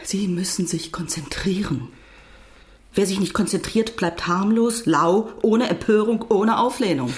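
An actress playing a stern, earnest writer's wife who admonishes in precise speech in a radio drama. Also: female voice, medium pitch, a little slow, close to an everyday voice. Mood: stern, insistent.

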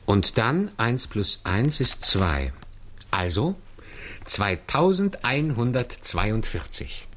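An actor in a 1960s German radio drama playing an earnest, deferential programmer, explaining precisely and step by step. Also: male voice, fairly low pitch, big pitch swings, slow, a bit bright, a little hiss or hum, full character voice. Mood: concentrated, explaining. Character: earnest, deferential male programmer who calculates by hand.

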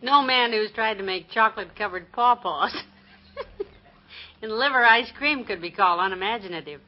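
A woman playing a good-natured, gently teasing wife in old radio comedy, with a wry, indulgent manner. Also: mid-range voice, normal speed, lightly acted. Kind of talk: 1950s radio comedy acting. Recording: dull, lo-fi old radio recording.

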